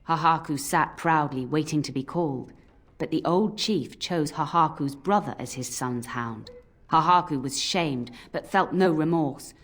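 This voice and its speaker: An actress playing an old nanny in a game, scolding but fond, given to telling cautionary tales. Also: female voice, deep voice. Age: older. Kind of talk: scripted game voice acting, storytelling. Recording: music under the voice.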